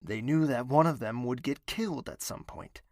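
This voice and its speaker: An actor playing a bitter, menacing young prince in an audio drama, speaking in a formal, commanding, deliberate way. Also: male voice, medium pitch, a little mellow, dry recording, clean studio sound, natural voice. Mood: proud, bitter. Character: bitter young male prince, self-declared villain, imperious and menacing.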